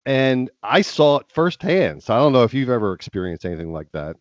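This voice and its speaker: A man's voice: medium pitch, very animated, a little fast, no room sound. A middle-aged man with a head cold, co-hosting a podcast in casual, rambling chat full of restarts. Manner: rambling, many ums and restarts. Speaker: podcast co-host. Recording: dry, slightly dull sound.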